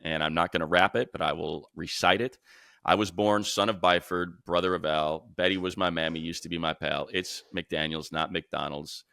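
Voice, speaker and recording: Casting: male sports radio co-host, low creaky voice, casual storyteller who acts out both sides of conversations. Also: fairly even intonation, normal speed, bright, forceful tone, no room sound, clean studio sound. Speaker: sports talk show co-host; radio play-by-play announcer.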